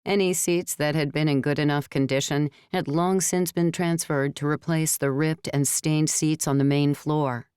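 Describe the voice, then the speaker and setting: A woman narrates fiction in a notably low, smooth and resonant voice. The recording is dry and close, and her delivery is measured, like someone telling a story. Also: fairly even intonation, darkish tone, no room sound. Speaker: audiobook narrator. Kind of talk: scripted narration of a novel.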